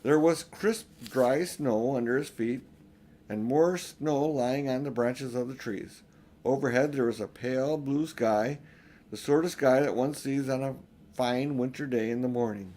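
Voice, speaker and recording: A man's voice: medium pitch, unhurried, dark tone. An older man narrating a children's storybook as an audiobook reader. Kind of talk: storybook narration read aloud.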